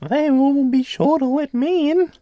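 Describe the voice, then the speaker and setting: A man voicing a fearless, boastful young storybook prince in a loud, brash falsetto. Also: unhurried, no echo, muffled recording. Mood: confident.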